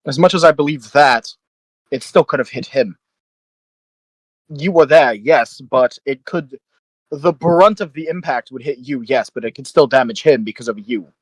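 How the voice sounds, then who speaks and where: A man's voice: medium pitch, moderate pace, husky, dry recording, low-quality recording. A man playing a tabletop roleplaying game, moving between in-character roleplay and casual chat. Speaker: voice actor.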